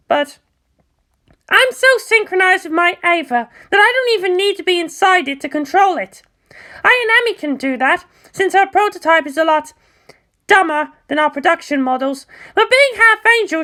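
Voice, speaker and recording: A woman plays a fiery teenage girl mecha pilot from an audiobook story. The voice is pitched very high and swings energetically, with blunt, sarcastic, combative emphasis. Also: sharp tone, exaggerated voice. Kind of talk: acted story dialogue.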